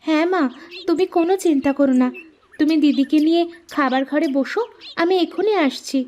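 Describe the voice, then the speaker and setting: A woman voicing a meek, obedient young daughter in a cartoon, soft and pleading. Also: high voice, brisk, slight background noise, natural voice. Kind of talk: cartoon voice acting.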